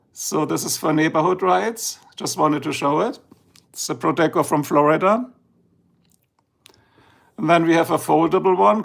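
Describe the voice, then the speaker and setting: German-accented male streamer, gravelly and a touch high, rambling and sleepy. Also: a bit bright. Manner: rambling, chatty, slow and drowsy at times. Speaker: livestream host. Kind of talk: casual live-stream chat. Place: indoors, filming a tablet slideshow.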